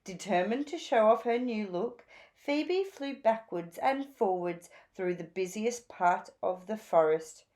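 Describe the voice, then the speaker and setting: A woman narrating a children's storybook aloud in a measured, expressive way. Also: medium pitch.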